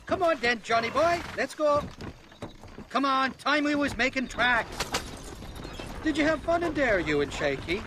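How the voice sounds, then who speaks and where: A very high, lilting Irish male voice swings about playfully a shifty outlaw in a video game. He is glib and wheedling, full of cowardly bluster, over clearly noisy game sound effects. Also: expressive, measured pace, long pauses. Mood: cheeky. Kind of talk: video game cutscene acting.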